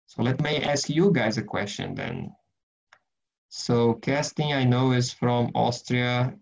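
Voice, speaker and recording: Man, mid pitch, gravelly, group study leader on a lo-fi video call. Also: slow pace, darkish tone. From Brazil. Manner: earnest, rambling, frequent restarts and fillers. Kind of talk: casual teaching and prayer in an online group session.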